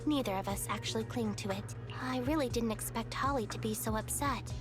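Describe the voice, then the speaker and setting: Woman voicing a high-pitched, calm android detective. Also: over music, lightly acted. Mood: detached, philosophical. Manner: measured, formal, matter-of-fact. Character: calm female police investigation android, wise and detached. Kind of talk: video game voice acting.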